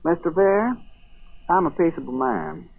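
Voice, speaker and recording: Colonial farmer: middle-aged, stubborn, folksy, slightly high voice, noisy vintage radio sound. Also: male voice, expressive, a little slow, creaky, slightly rough, exaggerated voice. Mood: indignant. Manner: folksy, plain-spoken. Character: stubborn, plain-spoken middle-aged colonial farmer, peaceable but roused. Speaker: radio actor. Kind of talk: 1940s radio drama acting. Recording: muffled, noisy 1940s radio recording.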